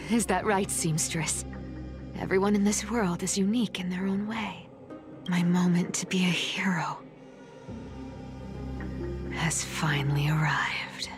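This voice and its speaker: Slow, teasing trickster woman. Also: on the low side. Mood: smug, amused. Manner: theatrical, teasing, breathy giggles. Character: playful, smug female trickster thief confessing secrets. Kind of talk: anime-style video game voice acting. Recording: game music underneath.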